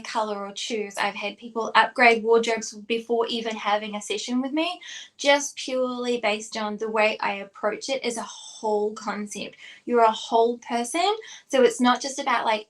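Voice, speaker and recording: A young woman with a mixed New Zealand and Australian accent, co-hosting a podcast and explaining her ideas analytically. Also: on the high side. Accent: New Zealand-Australian mix. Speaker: co-host, stylist. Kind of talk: casual podcast chat.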